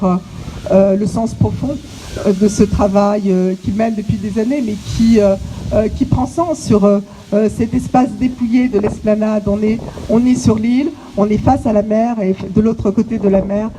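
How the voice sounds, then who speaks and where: A woman from French Guiana gives an opening speech outdoors, at a middling pitch. She pauses often, fills gaps with hesitations and restarts her phrases. The recording carries clear background noise and clicks. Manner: halting, many hesitations and restarts. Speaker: exhibition curator. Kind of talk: inaugural speech to visitors. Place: outdoors on an island site.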